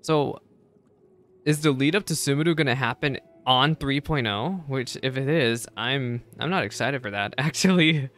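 A young man with a smooth, clean voice at a middling pitch. He gives casual gaming reaction commentary, chatty and a little hesitant, repeating himself as he goes. He is close on a desk microphone indoors. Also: moderate pace, a bit bright. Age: young adult. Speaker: game streamer. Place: indoor streaming setup. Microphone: close desk microphone, headset worn.

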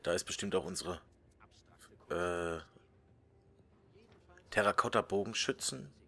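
A man's voice: low-pitched, takes it slowly, bright, forceful tone. A man casually commenting on his gameplay, joking and muttering asides, sometimes dropping to a whisper while he reads. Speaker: let's play host.

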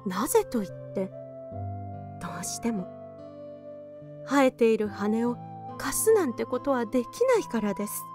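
A woman narrating a children's storybook tale in an animated read-aloud manner. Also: fairly high pitch, normal speed, pauses often. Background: children's background music.

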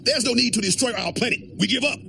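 A man voicing a cowardly, eager little alien in a cartoon, with a creaky voice. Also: extremely high pitch, fast, a little hiss or hum, exaggerated voice. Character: cowardly, cheerful small alien eager to surrender. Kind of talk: cartoon voice acting. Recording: loud music behind the voice.

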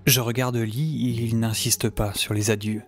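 A man's voice: mid-range voice, level tone, normal speed, natural voice. Man playing a wry hero over music. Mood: reflective. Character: casual, wry young male hero, narrating his adventure. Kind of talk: audio drama narration and dialogue.

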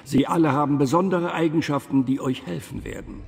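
A man playing a grave, assured gentleman who leads a secret order in a video game, formal, deliberate and authoritative. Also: a little high, creaky, soft background music. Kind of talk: dubbed video game cutscene dialogue. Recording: long reverberant hall.

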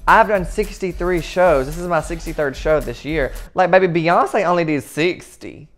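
A young man talking casually and excitedly in a backstage room on a lapel mic, playful and gushing. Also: on the high side, moderate pace, faint music behind. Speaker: comedian.

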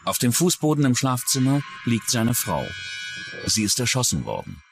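Man narrating true crime with lively intonation. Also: medium pitch, normal speed, gravelly, faint music behind. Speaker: documentary narrator.

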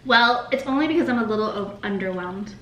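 A young woman from the United States chats over a meal in an echoey room, her voice bright and forward. She laughs easily and slows right down when she models a phrase to be repeated. A faint hiss sits under the room sound. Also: mid-range voice, animated. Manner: laughs often, teaches slow careful phrases. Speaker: co-host of a food vlog. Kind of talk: casual chat. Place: bright dining room indoors, at a table.

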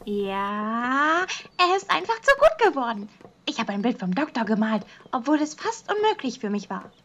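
A woman's voice: fairly high pitch, big pitch swings, moderate pace, a bit bright, lo-fi sound. A woman playing a playful, childlike teenage girl in an anime audio drama, with a naive, curious, singsong manner.

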